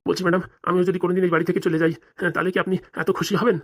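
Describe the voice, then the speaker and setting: A man voicing a deferential, flattering household servant in a comic cartoon, with colloquial rustic speech. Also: sits high, rapid-fire. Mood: concerned.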